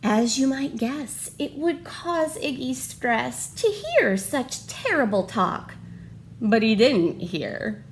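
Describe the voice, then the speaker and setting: Animated woman reading a storybook, unhurried pace. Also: fairly high pitch, a little hiss or hum. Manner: dramatic, deliberate phrasing. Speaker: storybook reader for children. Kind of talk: expressive picture-book read-aloud with questions to young listeners.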